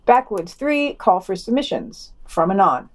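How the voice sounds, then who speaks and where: A woman's voice: medium pitch, big pitch swings, unhurried. A woman co-hosting a podcast, reading a scripted news round-up and dropping in wry, sardonic asides. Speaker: podcast co-host.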